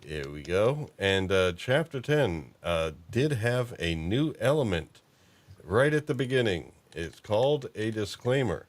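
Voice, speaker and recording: A man hosting a casual chat, talking haltingly and circling back mid-thought. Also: fairly low pitch, big pitch swings. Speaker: podcast host. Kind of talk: casual chat about fan fiction and films.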